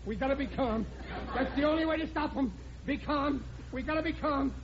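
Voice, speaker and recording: An actor playing an excitable, bumbling middle-aged father in an old radio comedy, blustering and muddled. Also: very high voice, brisk, a crowd in the background. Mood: frantic, blustering. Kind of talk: 1940s-50s radio situation comedy acting. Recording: muffled, noisy vintage radio recording.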